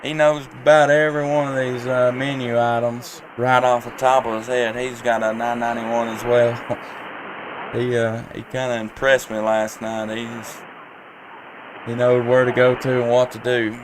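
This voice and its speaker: Clear background noise surrounds a man with an average-pitched voice. He hosts a casual amateur radio stream, speaking in a halting, folksy way. He rambles along without hurry, moving between chat and radio calls. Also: level tone. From Tennessee, United States. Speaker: amateur radio operator and live stream host.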